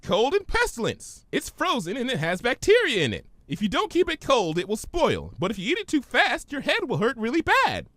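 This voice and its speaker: A man's voice: very high voice, wildly swooping pitch, normal speed, hardly any pauses, sharp tone, no echo, pitched above the performer's own voice, heavily acted. A man voicing a carefree, giggly young female cartoon pony in a breezy, chirpy, exclamatory way. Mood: cheerfully oblivious.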